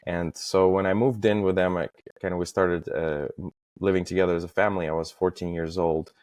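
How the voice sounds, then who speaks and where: A man in his thirties from Estonia, talking as a podcast guest in a halting way, with many fillers and restarts. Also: low voice, fairly even intonation, darkish tone, no room sound, clean studio sound. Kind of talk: casual interview talk.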